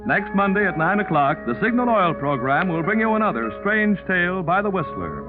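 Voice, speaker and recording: A man's voice: high-pitched, big pitch swings, fairly quick. An American man announcing in scripted 1940s radio style, with a polished, emphatic salesman's delivery. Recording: tinny 1940s radio recording, faint music.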